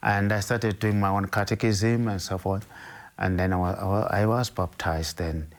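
An older Zimbabwean man with a very low, deep voice. He speaks slowly and carefully in an interview, often pausing and restarting his sentences as he recalls his past. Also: steady tone. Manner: measured, hesitant, with frequent restarts. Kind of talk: interview, recounting his life.

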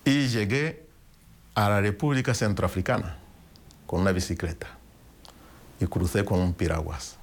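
Deep-voiced man telling his story slowly. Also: steady tone. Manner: reflective, unhurried storytelling with pauses and restarts. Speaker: interviewee. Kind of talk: personal testimony in an interview.

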